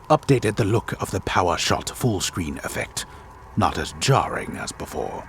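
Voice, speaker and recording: A man playing a solemn, disembodied storyteller of myth in a video game, reading scripted notes in a grave, measured, theatrical manner. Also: low voice, a bit bright, a little hiss or hum, full character voice. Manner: grave, measured, theatrical delivery of dry text. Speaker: game narrator.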